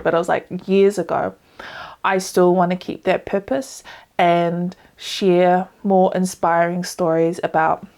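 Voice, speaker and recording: Woman in her thirties with a New Zealand accent and a slightly low voice, hosting a casual home podcast on a webcam, easygoing and filler-heavy. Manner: relaxed and chatty, with many ums and likes. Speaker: podcast host. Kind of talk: casual solo podcast talk. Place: small indoor room at home, dog barking outside. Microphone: laptop webcam, seated close.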